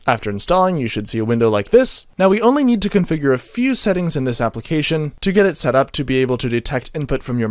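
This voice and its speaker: A man with a slightly high voice narrates a scripted how-to guide. His intonation moves up and down in a lively way as he explains things methodically. The recording is dry, like a close-miked voice-over. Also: no echo. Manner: methodical, step-by-step instructional delivery. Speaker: tutorial narrator. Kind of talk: scripted software tutorial narration.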